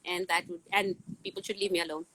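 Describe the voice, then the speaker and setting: A woman in her forties, a science teacher, answering interview questions outdoors in a loud, booming voice, laughing often. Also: fairly even intonation, quick pace, slightly rough, dry recording, low-quality recording. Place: outdoors in the wind.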